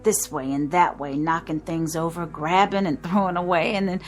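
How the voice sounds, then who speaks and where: A weary, steady young woman played by an actress speaks in a low voice. It has the manner of 1960s television drama, on a vintage soundtrack with music behind her. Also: moderate pace, natural voice. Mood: weary, calm. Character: weary, steady young woman painter enduring deadly heat.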